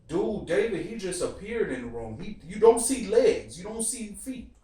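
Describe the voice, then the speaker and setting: A man's voice: high voice, big pitch swings, moderate pace, warm tone. A man hosting a casual, profane group discussion in slangy talk, animatedly telling his points and putting questions to the others. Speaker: host of a TV series discussion show. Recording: echoey room, distant from the mic.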